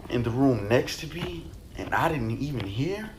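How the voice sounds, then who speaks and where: A man's voice: medium pitch, animated, normal speed, crowd noise, lightly acted. A man playing a stern, disappointed father with a grave manner in a puppet show. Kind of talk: amateur puppet show acting. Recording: roomy echo with faint background noise.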